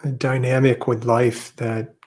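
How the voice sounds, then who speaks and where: Guy teaching meditation, really slow n gentle, lots of long pauses, pretty flat tone. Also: medium pitch. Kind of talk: guided meditation.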